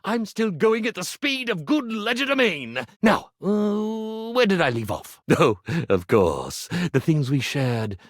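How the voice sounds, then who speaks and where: A man's voice: sits high, big pitch swings, slow pace, no echo, clean studio sound, heavily acted. A man voicing a video game character, a theatrical ironing board who fancies himself a grand illusionist, florid, melodramatic and easily flustered. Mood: excited, grandiose. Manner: flamboyant, melodramatic, florid wording with flustered hesitations.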